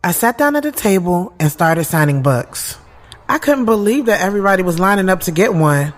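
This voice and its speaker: A woman's voice: medium pitch, animated, a little fast, natural voice. An actress as heartfelt thirty-year-old woman confiding her story. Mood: touched, conflicted. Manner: conversational, confiding. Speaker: lead actress and narrator. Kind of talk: first-person audio drama narration.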